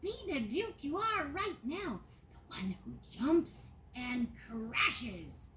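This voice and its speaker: An actress voicing a confident porcelain woman toy who leads the others and talks briskly. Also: sits high, sing-song, fairly bright tone, slight background noise, full character voice.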